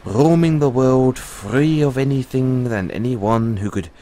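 A man playing a free-spirited, kindly dragon rider and mentor in an audio roleplay, musing and unhurried with pauses. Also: mid-range voice, dark tone, a little hiss or hum, lightly acted. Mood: proud, carefree.

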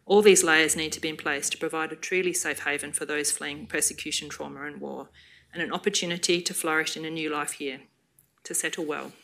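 A woman researcher giving a prepared lecture at a lectern in a university seminar room, with a formal, measured delivery. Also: sits low, steady tone, vocal fry, fairly bright tone.

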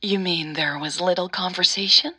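A woman voicing a calm, patient mother who gently soothes and advises her daughter in an audio drama. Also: on the low side, moderate pace, husky, full character voice. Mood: soothing.

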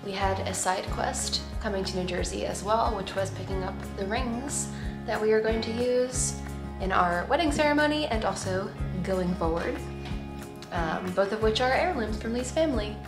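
A woman's voice: medium pitch, normal speed, reverberant, over music. A woman in her thirties chatting casually to a camera as a vlog host.

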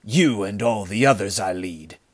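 A man's voice: on the low side, measured pace, dry recording. A man voicing a cruel, mocking bandit leader in a storybook, with a cold snarl. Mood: cold anger.

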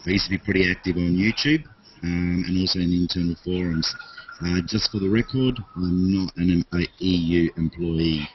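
A man's voice: sits low, steady tone, moderate pace, a little hiss or hum. A young man hosting a video call panel, introducing himself with many hesitant fillers. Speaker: host. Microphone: headset on video call. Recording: dull lo-fi video call audio.

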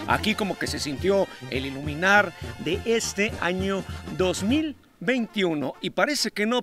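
A man hosting outdoors with a handheld mic, joking and chatting in a playful, wordy, folksy way. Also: high-pitched. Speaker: host. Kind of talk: upbeat show hosting with jokes. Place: outdoor fairground patio.

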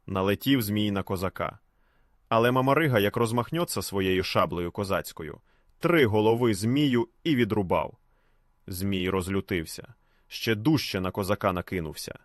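A man narrating a fairy tale as a storyteller, in an expressive, scripted reading. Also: lowish voice, moderate pace, no room sound, studio quality.

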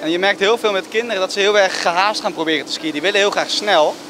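A man ski instructor calmly giving tips and talking about teaching children. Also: high-pitched, quick pace, noisy background. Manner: calm, instructing. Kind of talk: instruction and interview.

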